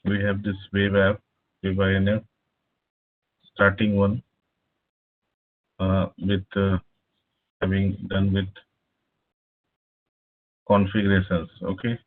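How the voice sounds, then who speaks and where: A man teaching a live online programming class over a speakerphone, pausing often with "uh". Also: sits low, monotone. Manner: halting, many filler "uh"s. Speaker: programming instructor. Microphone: laptop speakerphone, no headset. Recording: tinny phone-like line.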